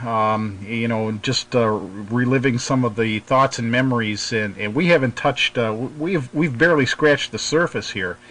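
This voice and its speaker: A man's voice: medium pitch, normal speed, few pauses, a bit bright, low-quality recording. Casual radio host, American man, mid-range voice, hesitant and conversational. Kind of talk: casual hobby radio chat.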